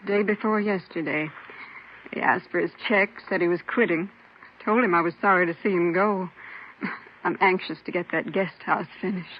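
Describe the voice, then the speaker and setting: A woman's voice: fairly low pitch, steady tone, moderate pace, natural voice. Chatty, assured American businesswoman played by an actress, old radio. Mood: concerned, chatty. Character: helpful, talkative businesswoman, a witness questioned by police. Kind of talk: 1950s radio drama acting. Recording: muffled, noisy old radio recording.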